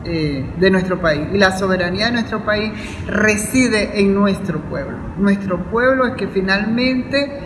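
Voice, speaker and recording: A woman's voice: medium pitch, unhurried. A woman with a Venezuelan Spanish accent giving an earnest, formal panel speech as a university academic, repeating phrases for emphasis. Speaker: university professor and academic vice-rector. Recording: echoey hall with loud background noise.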